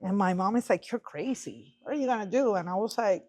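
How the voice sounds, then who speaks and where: A woman in her fifties tells her own story in a Puerto Rican accent, at a medium pitch. She slips easily between English and Spanish, as a native Spanish speaker does, and voices each side of remembered exchanges. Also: lively intonation, fairly quick, dark tone. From Puerto Rico. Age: 50s. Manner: switches freely between English and Spanish, acts out quoted dialogue. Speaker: podcast guest, film festival director. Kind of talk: conversational personal storytelling.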